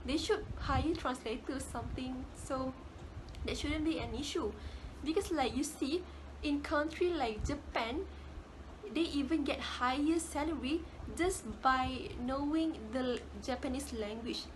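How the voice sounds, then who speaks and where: A woman's voice: sits high, a little slow, a little hiss or hum, poor audio. A young woman with a Malaysian English accent giving a casual class presentation, often repeating words as she searches for phrasing. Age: young adult. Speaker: student presenter.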